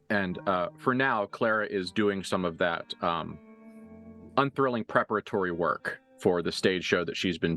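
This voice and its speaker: A man acting as game master, narrating a tabletop horror game in halting, deliberate, descriptive phrases. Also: sits low, sharp tone. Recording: music playing behind the voice.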